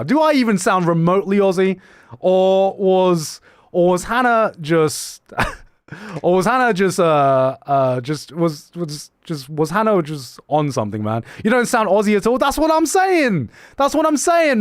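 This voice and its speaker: A young man with a mixed, slightly British-tinged accent talks to his live viewers. His voice sits a little high and swings up and down a lot. He laughs often, trips over his words and restarts them, all in a dry, close recording. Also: dry recording. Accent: mixed, slightly British-tinged English. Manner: animated, laughing often, many quick restarts. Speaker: streamer. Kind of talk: casual livestream chat, reading out viewer messages.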